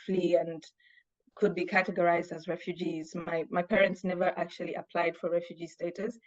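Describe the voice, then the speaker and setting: A woman who grew up in Zambia, from Uganda, answering interview questions in a measured way with frequent pauses and filler words. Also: on the low side, level tone, lo-fi sound, a loud crowd. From Uganda, raised in Zambia. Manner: measured, many pauses and ums. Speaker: guest, human rights officer. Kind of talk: interview conversation.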